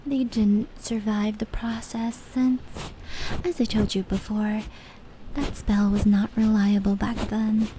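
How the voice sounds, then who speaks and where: A woman playing a gentle demon yokai storyteller in an ASMR roleplay, speaking softly and often whispering, slowly telling old tales. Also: medium pitch, natural voice, poor audio. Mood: calm, storytelling. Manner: slow, hushed, often slipping into whispers. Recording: steady background noise of rain and brushing. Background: indoor rain patter and brushing sounds.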